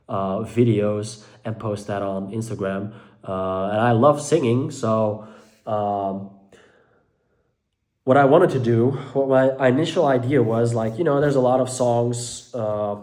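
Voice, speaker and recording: A man's voice: mid-range voice, a little mellow. A young man from Belgium, a native Dutch speaker with a Belgian Dutch accent, talking to camera about mental health from his living room, hesitant and full of fillers and restarts. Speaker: mental health content creator. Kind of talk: casual talk to camera.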